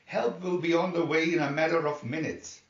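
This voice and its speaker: A steady male rescue operator, acted by a man reading a storybook answers briskly and formally, reassuring the listener. The sound is muffled and echoey. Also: a little high, natural voice. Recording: muffled, echoey room, distant mic.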